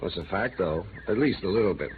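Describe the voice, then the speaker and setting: An actor playing a drunk, chummy newspaper reporter who talks in a repetitive, mock-solemn way in a 1950s radio drama. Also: male voice, low-pitched, animated, quick pace. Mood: tipsy, chummy. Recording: muffled, noisy old radio recording.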